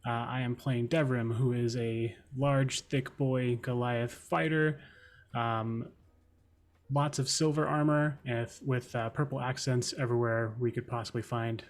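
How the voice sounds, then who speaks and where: A man's voice: mid-range voice, fairly even intonation, measured pace. A man player introducing himself and his fighter character on a tabletop stream, with playful wording. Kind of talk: self-introduction.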